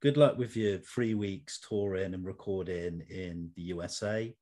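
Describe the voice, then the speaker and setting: Low-voiced middle-aged male interviewer. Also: a little slow, darkish tone. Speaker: interview host. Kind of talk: conversational interview.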